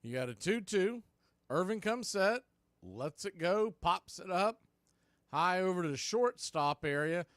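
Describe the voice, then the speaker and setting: A man works as a baseball play-by-play announcer. His voice sits a little high for a man. He delivers calls in short, clipped phrases with pauses between them, in a very dry sound free of room echo. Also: animated, a bit bright. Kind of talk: live sports radio play-by-play commentary.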